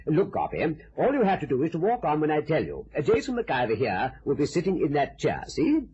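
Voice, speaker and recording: An actor playing a polite, anxious theatre producer who talks hesitantly, in an old radio drama. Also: male voice, on the high side, wildly swooping pitch, a little fast, mellow, lo-fi sound, a little hiss or hum. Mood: flustered.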